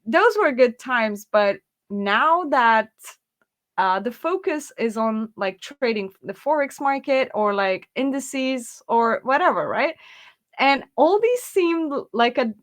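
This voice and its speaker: A woman's voice: on the high side, expressive, takes it slowly. A woman hosting an interview, friendly and chatty, laughing often and using many fillers. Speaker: interview host. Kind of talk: casual interview.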